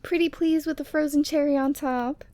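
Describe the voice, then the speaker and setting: A woman voicing an affectionate, teasing but lonely yeti girl in an ASMR roleplay, tender and coaxing with breathy sighs. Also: sits high, steady tone, moderate pace, warm tone, no echo, lightly acted. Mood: playful, teasing. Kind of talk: ASMR roleplay audio drama.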